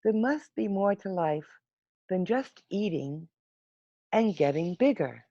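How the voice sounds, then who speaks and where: A woman voicing a restless, curious young male caterpillar in a storybook, expressive and wondering, on a muffled video call. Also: mid-range voice, slow pace, no echo.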